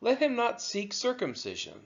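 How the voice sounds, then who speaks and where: Expressive man reading scripture, dull audio. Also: very high-pitched, normal speed, vocal fry. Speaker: scripture reader. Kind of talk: scripted read-aloud of scripture.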